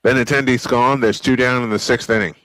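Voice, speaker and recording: A man's voice: medium pitch, steady tone, fairly quick, a bit bright, dry recording, low-quality recording. An American man doing live old-school baseball play-by-play commentary, mixing calls with casual asides. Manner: old-school broadcast delivery. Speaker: play-by-play sportscaster. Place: small home-style studio.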